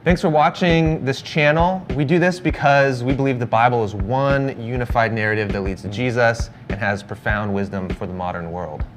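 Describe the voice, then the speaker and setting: A man's voice: medium pitch, moderate pace, hardly any pauses. A man co-hosting an educational video in a casual, plain-spoken, conversational narration style. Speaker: co-host of an educational Bible video series. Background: background music.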